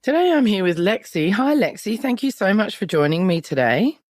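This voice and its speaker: A woman's voice: mid-range voice, big pitch swings, normal speed, darkish tone, dry recording, studio quality, soft background music. A middle-aged woman with an Australian accent, hosting a conversational podcast interview.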